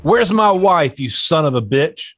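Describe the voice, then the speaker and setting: A man's voice: a little high, very animated, unhurried, a bit bright, breathy edge, dry recording, heavily acted. A man playing a brash, angry young cowboy at a western table read, aggressive and accusing. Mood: furious.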